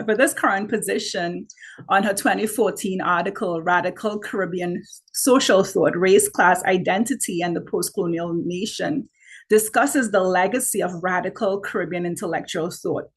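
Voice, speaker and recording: A woman's voice: mid-range voice, slightly rough. A Trinidadian woman with a Trini accent giving an earnest academic talk on a webinar, with frequent "you know" fillers. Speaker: guest speaker, academic editor. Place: online webinar.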